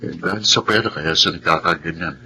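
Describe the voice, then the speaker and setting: An actor playing a supportive, soothing husband with a frank, consoling manner in a radio drama. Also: male voice, low voice, brisk, noisy background, lightly acted. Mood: consoling, concerned. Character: soothing, supportive husband of wronged younger sister. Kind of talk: Tagalog radio melodrama acting.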